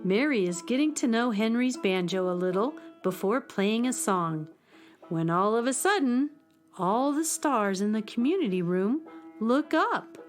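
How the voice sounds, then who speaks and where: A woman narrating a children's radio play in a calm, plain storytelling manner. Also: fairly high pitch, measured pace, faint music behind.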